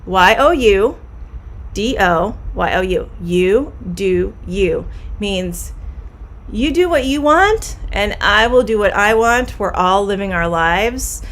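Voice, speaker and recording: A woman's voice: mid-range voice, expressive, slow pace, a bit bright. Woman, Midwestern American accent, middle-aged, friendly, patient, repeats key phrases. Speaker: English teacher. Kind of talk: live-streamed idiom lesson.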